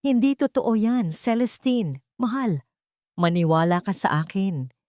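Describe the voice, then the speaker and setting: A woman's voice: medium pitch, expressive, a little slow, a little mellow, dry recording, studio quality, pitched above the performer's own voice, lightly acted. A woman voicing a scheming, manipulative man who uses and begs women in an audio drama. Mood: pleading. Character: scheming, contemptuous male fortune hunter, later pleading.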